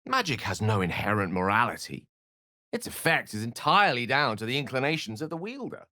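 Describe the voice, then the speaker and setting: A man's voice: medium pitch, very animated, fairly quick, bright, forceful tone, no room sound, clean studio sound. An actor voicing an eloquent, wry, bookish male wizard companion in a video game, with a theatrical, erudite delivery. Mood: earnest, musing. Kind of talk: video game character voice acting.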